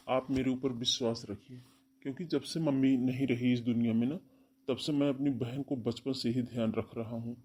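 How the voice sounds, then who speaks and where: A man's voice: medium pitch, warm tone, close to an everyday voice. A man voicing a stern, domineering elder brother, firm and insistent, in a cartoon family melodrama.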